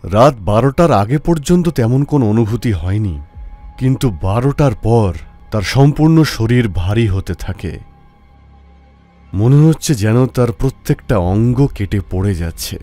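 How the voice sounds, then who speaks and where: A man giving scripted narration of an animated horror story. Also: medium pitch, normal speed. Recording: music behind the narration.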